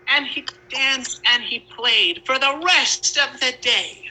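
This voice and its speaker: Bright-voiced woman telling a fairy tale slowly. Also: sits high, low-quality recording. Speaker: storyteller. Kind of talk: storybook narration with wry asides.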